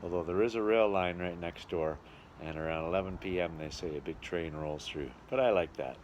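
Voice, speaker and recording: A man's voice: low voice, a little fast, a little mellow, a little hiss or hum. A man giving a chatty, rambling video diary as a travelling entertainer. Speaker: travelling musical entertainer and vlogger. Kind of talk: casual video diary chat.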